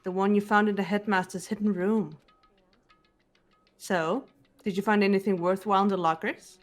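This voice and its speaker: A woman voicing a cool, analytical teenage girl detective, calm and measured, lecturing with precise logic. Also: mid-range voice, faint music behind, lightly acted. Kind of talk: amateur voiced game playthrough.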